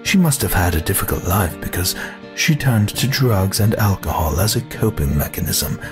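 A man voicing a sinister puppet-master spirit in a slow, theatrical, menacing monologue, gloating over his victims. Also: medium pitch, natural voice. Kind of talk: dramatic villain monologue. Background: music.

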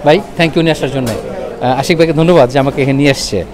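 A man's voice: medium pitch, fast talker. Male Bangladeshi food vlogger, chatty restaurant review sprinkled with English, recorded amid busy urban bustle. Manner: chatty, with English words mixed in. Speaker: food and travel vlogger, host. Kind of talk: casual restaurant review to camera. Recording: busy roadside traffic and chatter behind.

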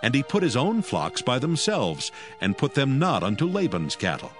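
A man narrating scripture from a script in a measured, solemn storytelling manner. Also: mid-range voice, huge pitch swings, faint music behind.

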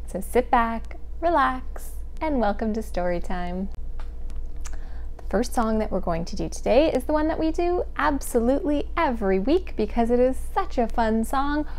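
A woman, hosting a storytime for young children in simple, expressive phrases. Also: a little high. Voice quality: clear, smooth. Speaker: children's storytime host at a public library. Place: small home room with bookshelves, indoors. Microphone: clip-on lapel mic.

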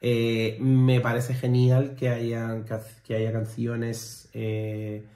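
A man's voice: mid-range voice, completely flat delivery, very slow, warm tone. A young man from Spain co-hosting a reaction video, chatting casually and rambling with lots of fillers and restarts. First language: Spanish. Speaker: reaction channel co-host. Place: living room at home. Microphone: fixed camera on a desk. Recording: roomy home echo.

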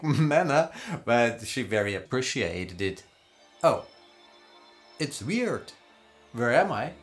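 German guy, 40s, talks real slow and playful, german accent, faint music behind. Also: on the high side. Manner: slow, deliberate, playful, with laughs. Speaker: actor. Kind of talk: self-presentation demo reel. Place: green screen studio.

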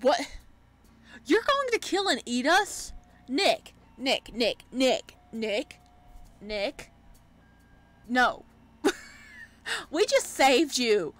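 A woman gaming streamer talks in a fairly high voice. Her commentary is casual and expressive, full of exclamations, in the manner of a playthrough. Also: extremely slow, pauses often.

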